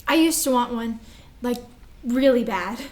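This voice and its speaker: A woman playing an anxious, confused little girl with a hesitant, halting delivery in a staged drama reading. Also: a little high, darkish tone, natural voice. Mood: withdrawn, reluctant. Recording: roomy, echoing stage recording.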